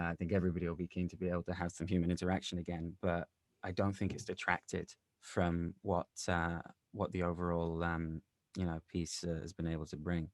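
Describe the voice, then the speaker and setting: A man explaining his work conversationally as an interviewed director, in a measured, halting way. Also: sits low, dry recording. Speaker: audio drama director being interviewed.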